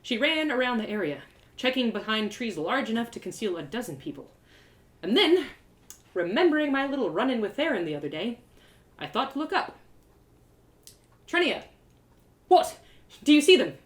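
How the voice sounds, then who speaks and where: Animated, higher-voiced woman storyteller. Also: a little fast. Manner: dramatic, animated. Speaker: storyteller reading aloud. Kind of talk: expressive first-person fantasy narration, live reading.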